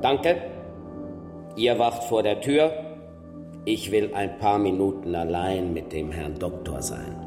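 An actor playing a menacing, sneering church envoy with pompous delivery in a radio play. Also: male voice, deep voice, expressive, slow pace, pauses often, music in the background. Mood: gloating menace. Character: menacing, gloating male church envoy, haughty inquisitor. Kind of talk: German radio play acting.

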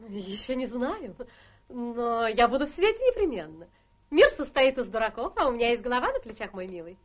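An actress playing a charming, ambitious young society wife in a radio play, coquettish and breezily self-assured in a theatrical manner. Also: female voice, a little high, very animated, brisk. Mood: gleeful, confident.

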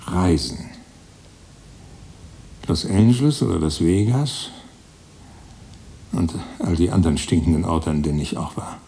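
An actor in a German radio play voicing a brooding, musing storyteller who invents his character aloud with deliberate delivery. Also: low-pitched, lively intonation, vocal fry, warm tone, heavily acted.

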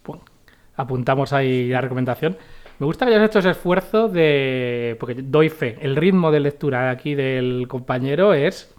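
A man's voice: mid-range voice, lively intonation, moderate pace, darkish tone, smooth. A man co-hosting a radio talk show, chatting in a rambling way about books he has read this year. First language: not Catalan. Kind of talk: casual radio chat reviewing books.

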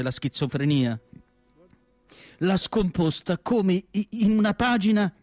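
A man playing a melancholy asylum doctor in radio theatre, narrating in an emphatic literary monologue with dramatic pauses. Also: high voice, no room sound, natural voice. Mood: anguished. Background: music.

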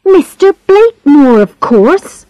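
A woman's voice: high-pitched, big pitch swings, takes it slowly, dark tone, no echo, faint music behind, pitched above the performer's own voice, exaggerated voice. A woman voicing a sulky, jealous young housemaid in an audiobook, defensive, childlike and plaintive. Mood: indignant. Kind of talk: audiobook character dialogue.

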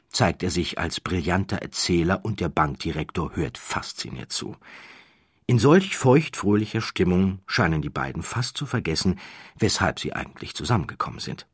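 A male audiobook narrator with a low, husky voice tells a scripted story. His phrasing is deliberate and emphatic, with widely varied intonation, in a dry studio. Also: no echo.

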